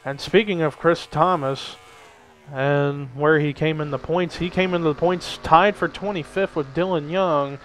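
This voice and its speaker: A young man with a somewhat high voice runs casual play-by-play on a simulated race. He is chatty and builds excitement toward the finish. The recording carries a faint hiss of noise. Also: unhurried, poor audio. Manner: chatty, lifts energy at race calls. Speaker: race commentator.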